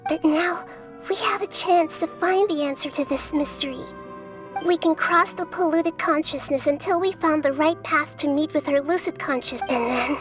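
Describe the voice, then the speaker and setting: A woman voicing a small, wise, gentle girl deity in a video game, speaking in a measured, thoughtful, formal way. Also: high voice.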